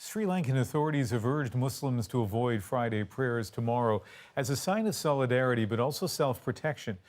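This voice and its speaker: A man anchoring a nightly newscast in a brisk, authoritative tone. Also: medium pitch, lively intonation, a little mellow. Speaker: news anchor. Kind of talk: news anchoring.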